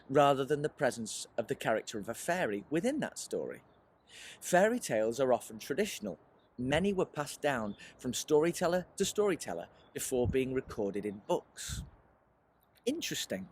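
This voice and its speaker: A man leading a children's writing workshop in an animated, encouraging presentational style, often putting questions to his listeners. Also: mid-range voice, a little hiss or hum. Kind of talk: presentational teaching aimed at children, with rhetorical questions.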